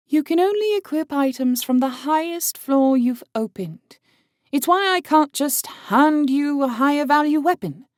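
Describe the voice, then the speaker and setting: Guy putting on a high light young woman, blunt and matter of fact, dry studio sound. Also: expressive, measured pace, no echo. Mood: explaining. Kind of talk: audiobook character voice.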